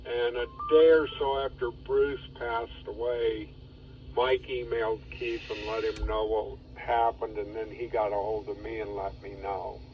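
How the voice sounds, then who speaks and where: Guy talking slow over two-way radio, dull muffled signal, rambles along matter of fact. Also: fairly low pitch, flat delivery, slight background noise. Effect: two-way radio transmission. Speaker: amateur radio operator. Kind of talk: casual radio chat.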